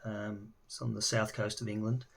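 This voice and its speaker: A man's voice: fairly low pitch, little pitch movement, moderate pace, gravelly, fairly bright tone. An Australian man, middle-aged, introducing himself casually and talking with lots of ums and restarts. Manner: hesitant, many ums and restarts. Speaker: language learner. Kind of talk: casual self-introduction video.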